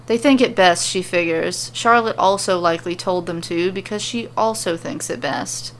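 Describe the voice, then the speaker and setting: A woman's voice: lowish voice, normal speed, poor audio. A woman storyteller reading scripted fantasy narration, with ambient sound effects behind her. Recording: faint background noise and ambience.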